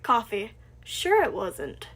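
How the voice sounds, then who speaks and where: A woman voicing a tired, grumpy older man with a flat, weary delivery. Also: a little high, slow, full character voice.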